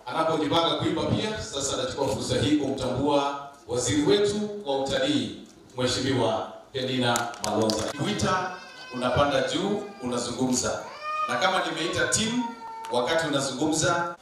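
A man acting as master of ceremonies, directing a ceremony and recognizing guests. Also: mid-range voice. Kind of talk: event announcements. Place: large tent at a ceremony. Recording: distant echoey PA in tent. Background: crowd and noise.

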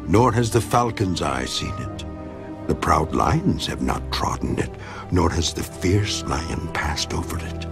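A very deep, solemn voice of Job, the steadfast sufferer. He declaims each line with rising and falling emphasis, while soft music plays underneath. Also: full character voice. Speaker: voice actor in a Bible audio drama. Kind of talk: dramatized scripture reading.

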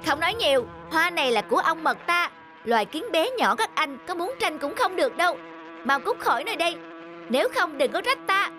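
A very high-pitched cartoon honeybee lords it over smaller creatures. The delivery is haughty, bossy and scornful, with music playing underneath. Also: female voice, sing-song, a little fast. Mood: scornful threatening. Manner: haughty, domineering. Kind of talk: animated children's fable acting.

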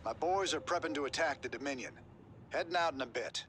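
A man voicing a gruff, easygoing, middle-aged rebel commander in a video game, with a laconic, casual drawl. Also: on the high side, a little fast, lightly acted. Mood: steady, urgent. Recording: game music behind.

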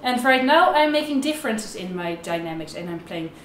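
A woman's voice: medium pitch, expressive, fairly quick, over music. A young woman giving an instructional violin tutorial to camera in an informal, explanatory way, with slightly non-native phrasing. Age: young adult. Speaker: violin teacher. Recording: roomy echo, violin playing at times.

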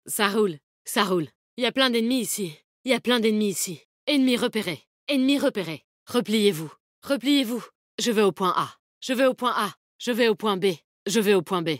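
A woman's voice: medium pitch, animated, fairly quick, dry recording, studio quality, close to an everyday voice. An actress playing a tough, confident, protective woman fighter in a video game, with a bold, cheeky, commanding manner. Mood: brisk, alert. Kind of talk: video game character voice lines, combat callouts.

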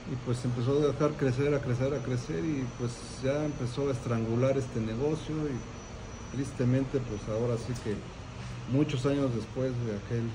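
A man's voice: mid-range voice, steady tone, moderate pace. A man in his sixties with a Mexican accent, recounting family business history as an interviewed restaurant owner. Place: restaurant dining room, indoors. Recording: clearly noisy restaurant room background.

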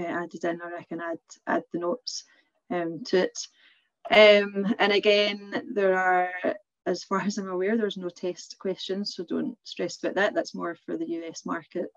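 A woman's voice: fairly low pitch, no room sound. A woman in her thirties with a Glasgow Scottish accent, presenting a clinical webinar on a video call in a chatty, informal way with many ums and restarts. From Scotland. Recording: dry, slightly dull webinar audio.

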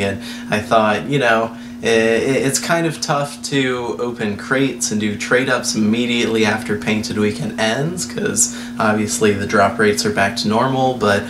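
A young man, a gaming YouTuber, talking casually and low-key over his gameplay in a slightly hoarse voice, with lots of fillers. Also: background noise. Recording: roomy echo with music underneath.

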